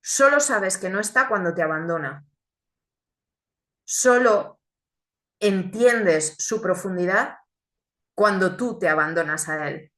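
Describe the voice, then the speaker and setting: Woman, thirties, earnest testimony, bright clear voice, echoey room. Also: medium pitch, animated. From Chile, raised in Spain. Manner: earnest and reflective, with deliberate pauses. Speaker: speaker giving a testimony. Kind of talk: religious testimony talk.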